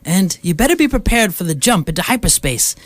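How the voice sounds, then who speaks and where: A woman's voice: lowish voice, very animated, brisk, no room sound. A woman playing a breezy, knowing alien hitchhiker, matter-of-fact, teasing and reassuring, in comic sci-fi radio play dialogue.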